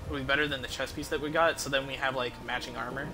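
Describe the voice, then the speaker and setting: A man's voice: medium pitch, steady tone, fast talker, noisy background. A man giving casual, rambling gameplay commentary as a game streamer, with some swearing.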